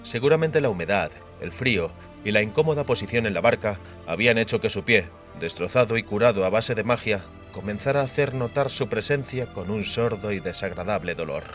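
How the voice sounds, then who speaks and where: A man's voice: on the low side, lively intonation, a little fast, few pauses, fairly bright tone, soft background music. A man reading scripted narration of a fantasy novel as an audiobook narrator.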